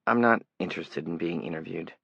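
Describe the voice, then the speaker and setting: A man's voice: sits low, fairly quick, vocal fry, sharp tone, husky, no room sound, muffled recording, full character voice. A man voicing a wary young man who speaks politely but firmly.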